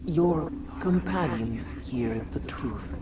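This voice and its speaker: A woman voicing an ancient insect alien queen who speaks through a host in halting, formal, poetic phrases for a video game. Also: deep voice, lively intonation, distant mic, background noise. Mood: grave, pleading.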